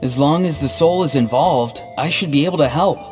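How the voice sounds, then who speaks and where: An actor playing a calm, earnest, self-sacrificing young man in an anime game, with an understated delivery. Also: fairly high pitch, brisk, close to an everyday voice. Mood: quietly determined. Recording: long reverb, music behind.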